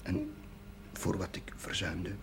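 Penitent chaplain, gravelly, solemn, formal, halting, old TV drama soundtrack, slight noise. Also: male voice, deep voice, wildly swooping pitch, heavily acted. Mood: hushed, remorseful. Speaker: actor in a television drama. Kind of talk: 1970s Dutch television drama acting. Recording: noisy old television soundtrack.

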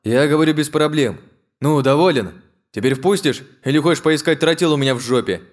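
A man's voice: a little high, brisk, voice pushed up, lightly acted. A narrator voicing a wary, defensive Russian man with a sarcastic streak.

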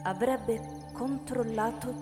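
A woman's voice: fairly high pitch, takes it slowly. A woman narrator reading a scripted dramatic story for radio. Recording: reverberant hall, music behind. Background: music.

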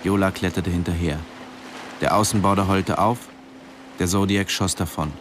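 Low, sober man acting as weary narrator. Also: steady tone, moderate pace, background noise, natural voice. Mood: sombre. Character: sombre, weary male diving instructor telling his story. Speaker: first-person narrator. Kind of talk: radio drama narration and dialogue.

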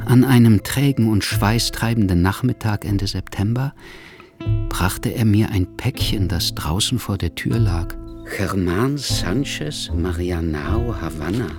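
An actor playing a sombre male writer who narrates history in measured literary style for a radio drama. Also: fairly low pitch, close to an everyday voice. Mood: reflective.

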